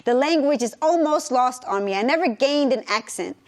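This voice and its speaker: A young woman recites a spoken word poem with a rhythmic, measured cadence, moving between English and Spanish. Her voice sits high. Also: animated. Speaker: performance poet. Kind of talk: spoken word poetry performance.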